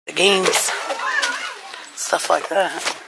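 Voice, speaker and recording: Gravelly-voiced woman chatting while shopping. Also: lowish voice, fairly even intonation, drawn-out words. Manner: chatty, talking to viewers while browsing. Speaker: vlogger. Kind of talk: casual shop-along commentary. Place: inside a large discount store. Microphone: handheld camera carried while walking. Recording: store background noise. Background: store ambience.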